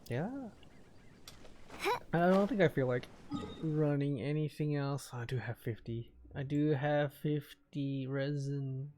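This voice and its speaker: A man's voice: a little high, mellow, slight background noise. A man livestreaming a game with casual commentary, rambling and repeating his phrases. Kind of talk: casual live gameplay commentary.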